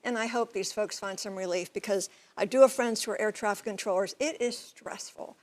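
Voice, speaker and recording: A woman reporting as a TV news correspondent, explaining things in measured, clear phrases. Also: mid-range voice. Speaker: TV transportation correspondent and pilot.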